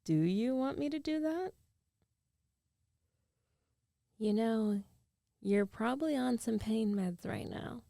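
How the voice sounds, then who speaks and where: A woman playing a concerned, teasing young ex-girlfriend in an intimate ASMR roleplay, speaking softly and haltingly into a close microphone. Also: on the high side, animated, gravelly, dry recording. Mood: apologetic, worried.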